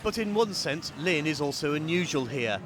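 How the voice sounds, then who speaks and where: A man reporting as a political correspondent, with a measured, clear delivery. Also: fairly high pitch, lively intonation, crowd noise. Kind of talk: news report voice-over and interviewing.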